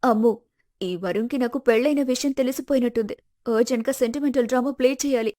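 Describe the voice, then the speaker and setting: A woman voicing a scheming young wife who puts on tearful innocence, in a pleading melodramatic way for an animated moral story. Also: fairly high pitch, quick pace, lightly acted. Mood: calculating.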